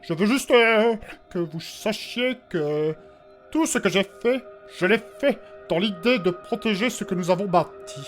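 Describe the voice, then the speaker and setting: An actor playing a hesitant, apologetic subordinate eager to justify himself in a fantasy audio drama. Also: male voice, high voice, expressive, a little slow, soft background music. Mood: uneasy.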